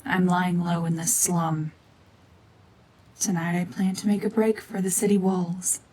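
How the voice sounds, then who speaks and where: A woman voicing a cold, mean renegade gorgon witch in a hushed, half-whispered ASMR drama, domineering and sardonic. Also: fairly low pitch, level tone, unhurried, breathy edge. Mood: guarded, grim.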